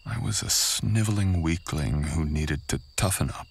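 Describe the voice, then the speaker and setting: A man playing a menacing, bitter, seductive samurai in an intimate ASMR roleplay, husky and breathy, often dropping into a whisper, with a taunting manner. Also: very deep voice, moderate pace, slight background noise. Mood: sardonic, taunting.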